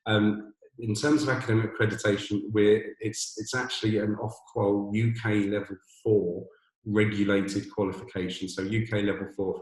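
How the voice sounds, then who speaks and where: A man with a boomy voice presents a webinar on a video call from an empty borrowed office, talking hesitantly with many restarts and fillers. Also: echoey room, poor audio. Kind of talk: informational webinar talk. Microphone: computer mic on a video call.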